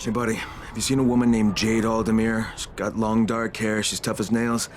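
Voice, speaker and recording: A man's voice: fairly low pitch, little pitch movement, normal speed, soft background music, close to an everyday voice. A man playing a tough, earnest undercover agent in video game dialogue. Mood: businesslike.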